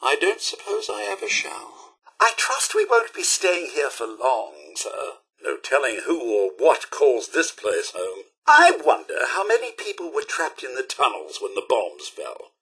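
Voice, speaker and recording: Robot butler, British accent, bright forceful tone, very animated, polite, theatrical, old-fashioned, video game companion. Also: male voice, medium pitch, unhurried, vocal fry, breathy edge, heavily acted. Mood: curious, chipper. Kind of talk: scripted game voice lines.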